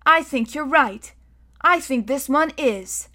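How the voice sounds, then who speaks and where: A woman voicing a calm, curious, brave young boy in a storybook, speaking plainly and earnestly. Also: high voice, slow pace, voice pushed up, heavily acted.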